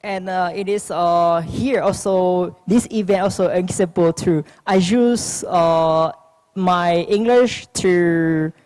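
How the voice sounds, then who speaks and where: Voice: medium pitch, a little mellow. Young speaker with a Vietnamese accent. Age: young adult. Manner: hesitant, halting, many restarts and fillers. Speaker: youth panel speaker. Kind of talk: prepared speech at a youth forum.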